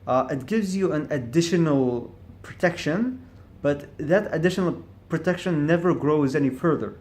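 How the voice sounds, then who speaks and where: A man's voice: a little high, lively intonation, normal speed, darkish tone. A man talking alone in a reflective vlog, stuttering on words and restarting often as he thinks aloud. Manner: stutters with repeated syllables and restarts; thinks aloud, hesitant and searching. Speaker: vlogger. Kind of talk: reflective monologue to camera.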